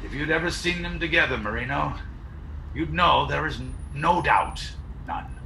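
Man acting pompous villainous doctor, bright forceful voice. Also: fairly high pitch, lively intonation, creaky, a little hiss or hum, full character voice. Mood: scheming. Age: older. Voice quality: theatrical, deliberate, pompous. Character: pompous, scheming, chauvinist male doctor villain. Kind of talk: period radio play acting. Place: at home on a video call. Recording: roomy home video-call sound.

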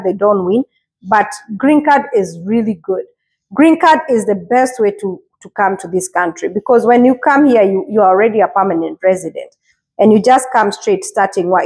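A woman's voice: medium pitch, darkish tone, husky, rough recording. A woman, casually encouraging viewers in a vlog while cooking in her home kitchen, recorded on a lapel mic. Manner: earnest, encouraging, repeats herself; talks while washing and chopping vegetables. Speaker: vlogger.

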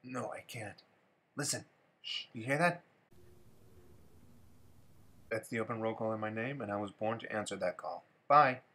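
A man's voice: on the low side, normal speed, pauses often, background noise, lightly acted. A man voicing a cocky, breezy American rooster, a wisecracking drifter, in an amateur cartoon fan dub. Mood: evasive.

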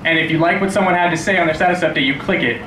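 High-voiced sarcastic young male stand-up comic. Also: racing, sharp tone. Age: 20s. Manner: sarcastic, profane, self-deprecating ranting. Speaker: stand-up comedian. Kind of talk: stand-up comedy at an open mic. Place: small dim club stage, indoors. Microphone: handheld stage microphone. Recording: echoey room with clear background noise.

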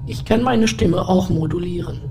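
A man's voice: high-pitched, normal speed, creaky, noisy background. A man playing a polite, inquisitive, literal-minded ship's computer with formal, precise speech in a German-made sci-fi audio drama. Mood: earnest, persistent.

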